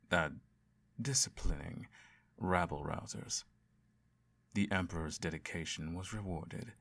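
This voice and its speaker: A man acts out an evil emperor in a bedtime audio roleplay. His voice sits very low, with a heavy gravelly creak. He tells his tale to a child with measured grandeur, self-righteous and doting, yet quietly menacing. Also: full character voice.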